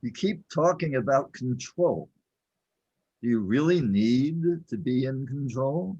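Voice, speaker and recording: A man playing a calm, patient guide in an audio drama, speaking in a husky, measured, reassuring way. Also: medium pitch, highs cut off, heavily acted. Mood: calm, probing. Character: calm patient male guide to a confused newcomer.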